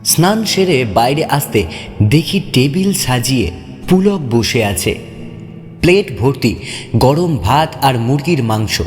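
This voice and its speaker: A man's voice: medium pitch, takes it slowly. A man giving a dramatic, suspenseful first-person narration of a horror story. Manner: suspenseful, expressive. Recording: long hall reverb, clear music bed. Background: music.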